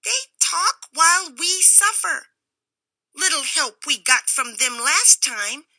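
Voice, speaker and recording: A woman voicing a fretful male cameo cutter with a squeaky voice who complains indignantly. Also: lively intonation, slow pace, sharp tone, dry recording, full character voice.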